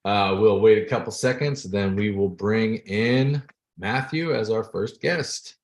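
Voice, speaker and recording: A male host running a live video chat, upbeat and quick to laugh. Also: on the low side. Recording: echoey room.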